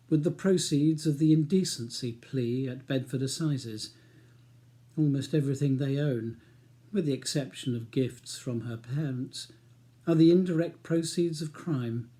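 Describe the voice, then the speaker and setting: A man's voice: fairly high pitch, warm tone. A man with a British accent reading his own novel as a scripted audiobook narration.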